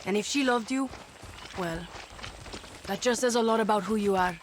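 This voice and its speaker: A woman's voice: medium pitch, normal speed, a little hiss or hum, close to an everyday voice. A woman playing a young, tough, wry guerrilla fighter in a video game, speaking dryly and directly. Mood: sombre, firm. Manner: dry, streetwise, direct. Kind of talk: video game dramatic acting.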